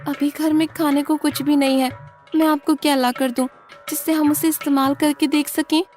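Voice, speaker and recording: A woman's voice: high-pitched, level tone, normal speed, a little mellow, soft background music, pitched above the performer's own voice, close to an everyday voice. A woman voicing a dutiful, curious village wife in a cartoon story, with an eager, deferential manner. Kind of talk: Hindi cartoon story dialogue.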